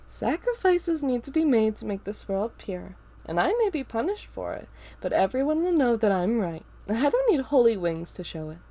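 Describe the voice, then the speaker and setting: A woman voicing a cold, power-hungry female villain who speaks in a sneering, flat tone. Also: fairly high pitch, normal speed, voice pushed up.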